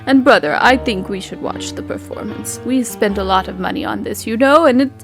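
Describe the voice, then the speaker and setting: A woman playing a genteel, politely insistent gentleman brother, speaking formally and hesitantly in an improvised tabletop roleplay. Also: a little high, fairly quick, faint music behind, natural voice. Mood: polite, coaxing.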